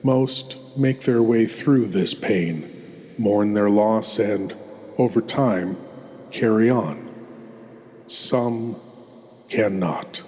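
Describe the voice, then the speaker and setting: A man hosting a horror show, delivering scripted narration in a theatrical, ominous and deliberate manner. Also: on the low side, a little mellow. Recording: long cavernous reverb.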